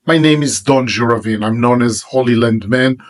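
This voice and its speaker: A man with an Israeli accent, a native Hebrew speaker, preaching about prayer in an emphatic monologue with pauses between phrases. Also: medium pitch. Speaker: religious teacher. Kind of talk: monologue sermon.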